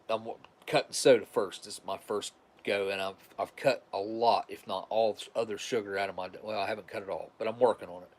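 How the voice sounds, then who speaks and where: A man with a stuffed-up, nasal voice, talking casually and rambling on a livestream as its host. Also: fairly low pitch. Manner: rambling, restarts often, conversational.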